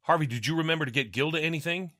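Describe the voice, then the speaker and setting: A man's voice: a little high, very fast, bright, forceful tone, no room sound, clean studio sound. An actor playing a practical male police captain. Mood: brisk.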